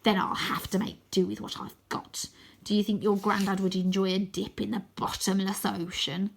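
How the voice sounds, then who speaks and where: A woman voicing a gloating male scarecrow villain in a storybook, with a raspy, snarling voice and slow, sneering threats. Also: medium pitch, little pitch movement.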